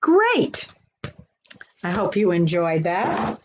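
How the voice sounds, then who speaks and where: A woman's voice: fairly low pitch, wildly swooping pitch, extremely slow, muffled recording, background noise. A woman working as a children's librarian, hosting a live storytime in a simple, encouraging way and speaking directly to young children.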